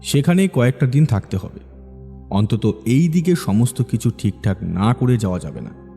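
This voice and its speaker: A man's voice: medium pitch, big pitch swings, moderate pace, creaky, mellow. A man narrating a scripted horror story as its storyteller. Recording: long reverb, music behind.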